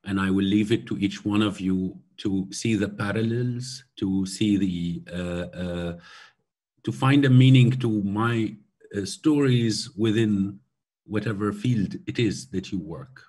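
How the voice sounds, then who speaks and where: Keynote speaker, man, 40s, from Syria: unhurried, halting delivery, somewhat low and husky. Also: darkish tone. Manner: slow, thoughtful, many hesitations. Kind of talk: lecture over video call. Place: room at home by a glass door. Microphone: laptop webcam on video call.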